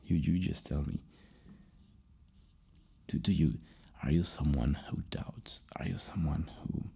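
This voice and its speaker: A man plays a psychologist in a soft-spoken ASMR roleplay. His voice is low and very breathy, often slipping into a dark whisper. He speaks haltingly, repeating words and gently reassuring. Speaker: roleplay host acting as a psychologist.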